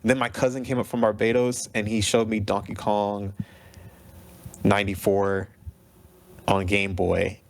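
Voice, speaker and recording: A man's voice: lowish voice, unhurried, creaky, pauses often. A man in his thirties, a guest on a podcast, telling stories animatedly and laughing often. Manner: animated storyteller, laughs often, acts out the people he quotes. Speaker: guest, video game composer. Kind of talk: podcast interview.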